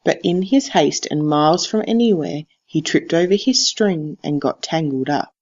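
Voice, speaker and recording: A teacher reads a picture book aloud in a deliberate, expressive storytelling style, on a slightly dull recording. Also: mid-range voice. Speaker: teacher reading to a class.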